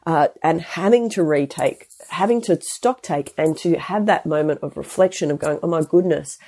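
A woman's voice: low voice, moderate pace, dark tone. A woman with a stuffy head cold, hosting her podcast in a chatty, rambling way full of restarts and asides. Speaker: podcast host and health practitioner. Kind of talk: casual solo podcast talk.

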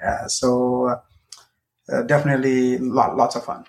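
Friendly man from Nepal, halting speech. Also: mid-range voice, fairly even intonation, gravelly. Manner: friendly, halting with many fillers. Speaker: guest. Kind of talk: casual interview chat.

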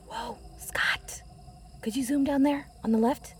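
Higher-pitched, determined woman hiker in peril. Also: fairly even intonation, a little slow, soft background music. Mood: alert, curious. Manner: urgent, foul-mouthed, reassuring under pressure. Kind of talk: full-cast audio drama acting.

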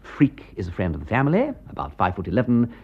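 Posh english gentleman sleuth, urbane and keen, voice swoops all over, dull old radio drama sound. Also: lowish voice, wildly swooping pitch, quick pace, slightly rough, heavily acted. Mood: urgent, persuasive. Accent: upper-class English. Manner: urbane, emphatic, drawling question tags. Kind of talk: British radio drama acting. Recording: dull band-limited radio recording.

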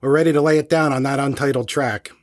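An actor playing a laid-back, crude guitarist who talks in excitable slang. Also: male voice, medium pitch, quick pace, lightly acted. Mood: excited.

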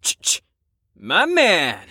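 Smug teen rebel, voiced by a woman: slow, animated drawl, slang-heavy teasing, close dry sound. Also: fairly low pitch, breathy edge, dry recording, exaggerated voice. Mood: cocky, teasing. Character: cocky, slangy, smirking teenage show-off named Storm. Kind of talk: audio drama acting.

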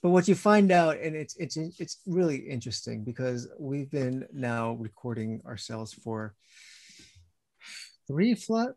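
A man's voice: mid-range voice, very animated, measured pace, darkish tone. A man chatting as a guest, reflective and rambling, often restarting his sentences. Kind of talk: casual conversation.

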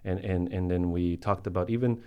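A man's voice: sits low, steady tone, a little fast, clear, resonant tone, dull audio. A man from Colombia, a native Spanish speaker, talking casually as a podcast guest and searching for his words. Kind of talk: casual podcast interview talk.

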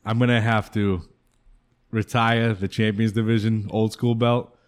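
A man hosting a casual talk show, relaxed and swearing freely. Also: lowish voice, unhurried, clean tone. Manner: laid-back, swears freely, jokes about league rivals. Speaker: podcast host. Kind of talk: casual chat.

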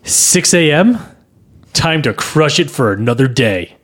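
A man's voice: on the high side, animated, unhurried, a bit bright. A man playing himself as an eager, self-satisfied young hero in a comedy table read. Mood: cocky, breezy.

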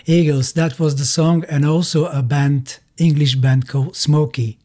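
A middle-aged man with a Bulgarian accent, speaking haltingly in non-native English as he tells his story in a casual interview. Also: fairly high pitch, level tone, dark tone. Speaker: musician being interviewed.

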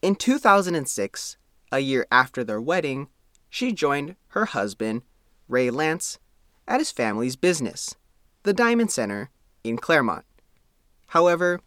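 A man narrating a scripted profile story as its reporter, reading in a measured, formal way. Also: a little high, a bit bright, no echo, clean studio sound.

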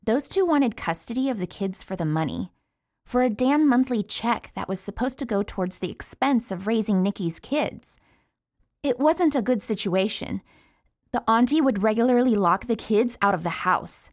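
A woman's voice: a little high, expressive, moderate pace, no room sound, natural voice. Actress as blunt female inmate, close dry sound. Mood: indignant. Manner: blunt, profane. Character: indignant, grieving female inmate mourning her friend. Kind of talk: storytelling audio play monologue.

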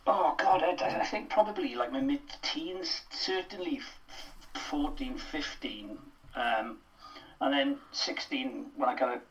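A man's voice: fairly high pitch, very animated, a little slow, vocal fry, fairly bright tone, recorded from a distance, lo-fi sound. A middle-aged Welsh man, a drama lecturer, chatting as a podcast guest with many fillers and restarts. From Wales. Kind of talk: casual podcast interview.

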